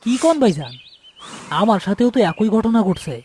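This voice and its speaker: A man's voice: sits high, very animated, moderate pace, darkish tone, a little hiss or hum. A man playing a respectful, uneasy younger villager in an audio horror drama, speaking colloquially with a Bangladeshi accent. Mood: shaken. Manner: colloquial, deferential.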